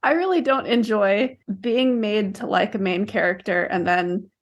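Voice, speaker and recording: A woman's voice: medium pitch, measured pace, muffled recording. A woman co-hosting a book discussion podcast, talking casually, pushing back and carefully qualifying her points. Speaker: podcast co-host.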